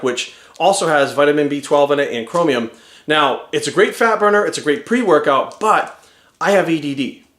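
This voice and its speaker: A man in his forties giving an energetic, rambling testimonial to camera. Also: a little high, reverberant. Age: 40s.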